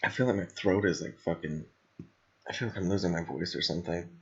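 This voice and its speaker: A young man co-hosting a podcast in blunt, rambling, sweary casual chat, with a slightly raspy voice. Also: low-pitched, brisk, a bit bright, muffled recording. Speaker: podcast co-host.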